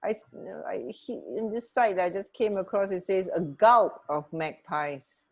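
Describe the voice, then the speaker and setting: A woman's voice: mid-range voice, unhurried. A woman co-hosting a radio show, chatting casually and musing in short, reactive remarks. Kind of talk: casual chat, trading fun facts.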